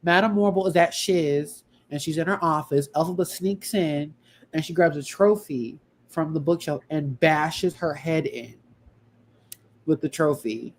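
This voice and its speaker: A woman's voice: sits low, unhurried, a little hiss or hum. Brash, blunt woman on a podcast. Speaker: podcast panelist steering the talk. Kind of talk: casual group chat.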